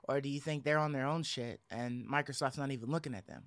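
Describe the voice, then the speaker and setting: A man's voice: mid-range voice, fast, a bit bright, dry recording. A man hosting a casual gaming chat, talking in an informal, slangy, rambling way. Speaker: podcast host.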